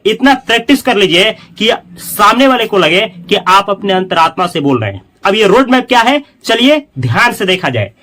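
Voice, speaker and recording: A man teaching a spoken English lesson, explaining in Hindi and reading English sample sentences slowly and deliberately. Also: high voice, a bit bright.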